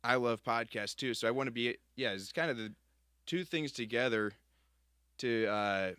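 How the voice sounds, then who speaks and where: A man with a crisp, bright voice at an average pitch, hosting a casual interview. His delivery is informal and a bit halting, captured with a dry, close sound. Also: no echo. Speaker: podcast host.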